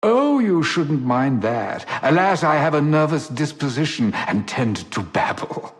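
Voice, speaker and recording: Flamboyant, grandiloquent rogue, swooping theatrically. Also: male voice, on the high side, sing-song, unhurried, faint music behind. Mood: jovial, sly. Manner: florid, mock-gallant, theatrical delivery. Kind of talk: theatrical video game character acting. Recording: long echoing hall reverb.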